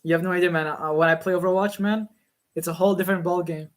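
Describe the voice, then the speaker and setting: A man's voice: high-pitched, steady tone, fast. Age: adult. Sex: man. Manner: teasing, pushy. Style: online voice chat. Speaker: voice chat participant.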